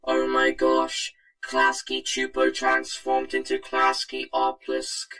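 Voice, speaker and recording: A synthetic vocoder voice playing an animated talking logo creature in a flat, buzzy, robotic monotone. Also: extremely high pitch, slow, over loud music, low-quality recording. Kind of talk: scripted cartoon dialogue through a vocoder.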